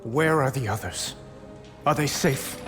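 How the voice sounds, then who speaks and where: An actor playing a noble young captive prince in a game cinematic, earnest, weary and defiant. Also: male voice, mid-range voice, unhurried, close to an everyday voice. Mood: worried. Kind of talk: dramatic game cinematic acting. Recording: loud cinematic music behind.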